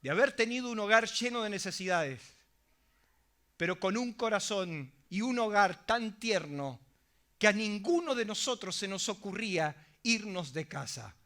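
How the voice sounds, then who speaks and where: Bright high-voiced preacher man. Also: lively intonation, normal speed. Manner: emphatic preaching, asks the audience rhetorical questions. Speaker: pastor. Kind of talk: sermon.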